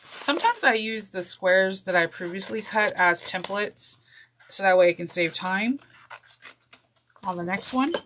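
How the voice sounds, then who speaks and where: A woman's voice: mid-range voice, expressive, unhurried, fairly bright tone. A congested woman with a nasal voice teaching a sewing project step by step, chatty and correcting herself as she goes, with apologies along the way. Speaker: sewing instructor. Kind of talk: step-by-step craft tutorial. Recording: noisy with clicks.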